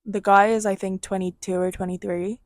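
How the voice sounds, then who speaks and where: A young woman with a slight Irish accent, co-hosting a podcast and telling a gossip story in a casual, animated way, swearing and acting out quotes. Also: mid-range voice, fairly quick, no room sound, clean studio sound. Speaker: podcast co-host.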